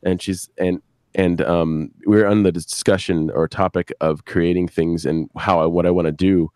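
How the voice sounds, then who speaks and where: Man, middle-aged, very low voice, dry close sound. Halting, sweary, casual storyteller on a live stream. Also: steady tone, darkish tone, no echo. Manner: rambling, halting, crude swearing. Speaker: podcast creator, interview guest. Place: video live stream with a banner behind.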